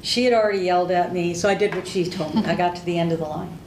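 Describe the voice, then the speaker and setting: A woman's voice: lowish voice, fast talker, a little mellow, recorded from a distance, slight background noise. An older woman, a native Hungarian speaker, telling stories from her service candidly in an oral history interview. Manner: candid, plain-spoken storytelling. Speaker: veteran interviewee. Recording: roomy echo.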